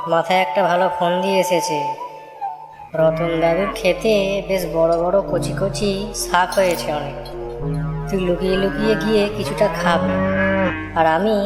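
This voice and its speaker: A woman's voice: on the low side, close to an everyday voice. A woman voicing a mischievous, scheming twelve-year-old cowherd boy in a children's cartoon story. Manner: plotting, talking to himself and his cow. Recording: echoey reverb, music underneath.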